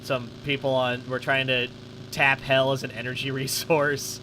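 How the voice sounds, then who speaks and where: A man's voice: medium pitch, little pitch movement, moderate pace, pushed and bright, a little hiss or hum, low-quality recording. A man with a slightly stuffy nose doing casual, sweary let's play commentary, thinking aloud as he goes. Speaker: gaming YouTuber. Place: his room at home.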